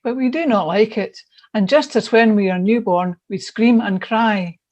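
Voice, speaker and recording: A woman's voice: medium pitch, moderate pace, a little mellow, lo-fi sound. A woman reading a scripted church devotional in an emphatic, earnest manner.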